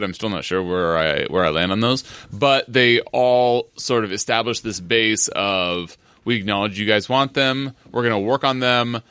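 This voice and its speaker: A man's voice: lowish voice, a bit bright, clean tone, no room sound, studio quality. A man rambling and teasing in a casual comics podcast chat. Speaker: podcast co-host.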